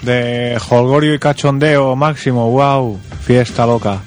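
A man's voice: mid-range voice, steady tone, measured pace. A man with an accent from Spain co-hosting a radio show in casual, slangy, joking chat. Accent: Spanish from Spain. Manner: jokey, slangy, loose. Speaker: radio co-host. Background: faint music.

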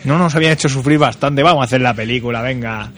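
A man's voice: medium pitch, fast talker, fairly bright tone, faint music behind. A man with an accent from Spain co-hosting a radio show in a casual, teasing, colloquial style with some swearing. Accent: Spanish from Spain. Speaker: radio co-host. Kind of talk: casual, bantering radio talk. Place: radio studio, at a microphone.

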